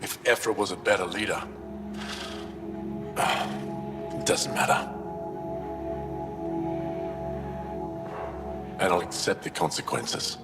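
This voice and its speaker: An actor playing a bitter, brooding alien traitor behind bars in a video game, resigned yet defiant. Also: male voice, sits low, a little slow, music in the background. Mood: resentful, resigned. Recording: long reverberant hall echo.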